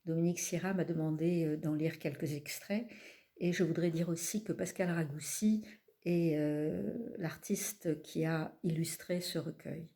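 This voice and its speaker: Slow, mellow woman reading poetry. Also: deep voice. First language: not French. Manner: calm, measured, pausing between phrases. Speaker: poet reading her own work.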